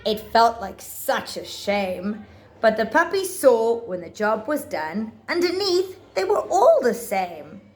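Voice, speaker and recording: A woman reads a children's picture book aloud in a fairly high voice. Her pitch moves around expressively, and she takes her time, placing phrases deliberately and theatrically for young listeners. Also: sing-song. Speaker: children's storybook reader. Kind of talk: expressive read-aloud of rhyming picture books.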